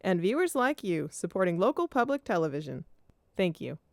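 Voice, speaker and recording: A woman's voice: on the low side, a little fast, no echo. A woman reading scripted sponsor announcements in a polished way. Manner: lively, polished read.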